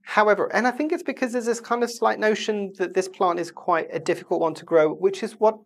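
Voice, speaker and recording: A houseplant vlogger talking at home with a stuffed-up, congested voice. The delivery is chatty and meandering, full of asides and mid-sentence self-corrections, at an ordinary middle pitch. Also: a little fast. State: stuffy, blocked nose. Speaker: houseplant video host. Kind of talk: casual chatty plant review.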